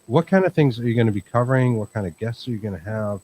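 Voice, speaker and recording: A man hosting a live chat show, talking in a calm, rambling way with many fillers. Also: medium pitch, quick pace, a little hiss or hum, rough recording. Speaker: host. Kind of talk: casual livestream chat.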